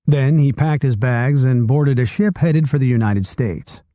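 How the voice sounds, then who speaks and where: A man reading a nonfiction book aloud chapter by chapter, with expressive storytelling delivery. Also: medium pitch, unhurried, no room sound, clean studio sound. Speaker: reader.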